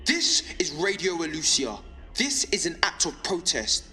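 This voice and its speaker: An actor playing a defiant, lonely young male rebel on pirate radio in an audio drama, speaking intensely and deliberately in short rhythmic phrases. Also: a little high, sing-song, sharp tone, soft background music, exaggerated voice. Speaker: underground radio broadcaster. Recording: reverberant space with background noise.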